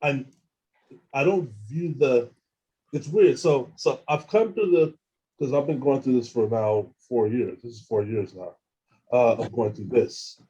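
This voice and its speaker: A man telling his own experiences as an interview guest, halting and often repeating words as he restarts sentences. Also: medium pitch, warm tone, highs cut off. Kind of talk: casual first-person account.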